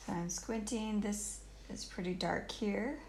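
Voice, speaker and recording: A woman with a croaky, creaky voice gives a casual painting tutorial at an unhurried pace. She thinks aloud in short phrases as she works. The live stream sounds roomy. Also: medium pitch, a little mellow. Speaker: painter teaching on a livestream. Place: indoors at home, live stream while painting. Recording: roomy live stream with faint noise and clicks.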